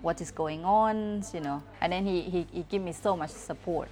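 A woman's voice: lowish voice, mellow. A woman with a Thai accent, not a native English speaker, talking candidly as a guest photographer and often restarting her sentences. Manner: candid, halting, with many restarts and fillers. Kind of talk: casual podcast chat.

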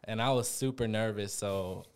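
A man's voice: fairly low pitch, normal speed, clean tone. A young man from Memphis, chatting in an interview about joining a gym, hesitant with lots of fillers. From Memphis, USA. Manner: chatty, hesitant with many fillers, laughs often. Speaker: gym member, guest. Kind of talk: casual interview.

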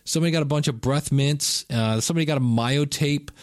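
A man's voice: on the high side, fast talker, dry recording, studio quality. Chatty rambling man in his fifties. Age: 50s. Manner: rambling, self-correcting, chatty. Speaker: podcast host. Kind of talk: casual solo podcast monologue.

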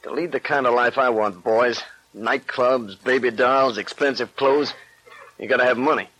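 A man's voice: mid-range voice, fairly even intonation, normal speed, fairly bright tone, breathy edge. An actor playing a cocky, ruthless gangster boss in 1940s radio style, boasting in tough-guy slang. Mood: menacing, boastful. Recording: noisy vintage 1940s radio recording.

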